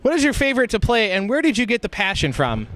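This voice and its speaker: A man asks questions in an informal, polite interview style. His voice is high for a man and he talks quickly. There is faint background noise from the location. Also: wildly swooping pitch, a bit bright. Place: convention venue.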